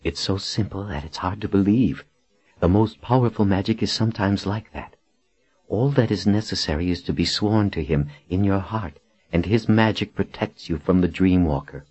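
Man reading as a firm, reassuring woman leader, husky. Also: deep voice, normal speed, a little mellow, dry recording, highs cut off, voice pushed up. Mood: earnest explaining. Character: resolute, reassuring woman leader rescuing a friend.

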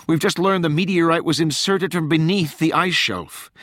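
A man's voice: a little high, normal speed, fairly bright tone, no room sound, clean studio sound, pitched above the performer's own voice, lightly acted. A man voicing a desperate younger woman, an intelligence liaison, in an urgent, emphatic audiobook character voice. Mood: urgent warning.

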